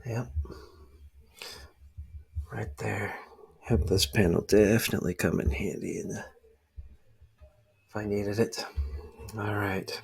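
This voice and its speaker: Hushed, husky man with creaky low voice. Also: fairly even intonation, slow, pauses often. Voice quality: breathy, husky, often slipping into whisper. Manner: hesitant, rambling, murmured asides. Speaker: ASMR creator playing a spy dad showing gear. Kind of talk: ASMR roleplay, soft-spoken. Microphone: close microphone.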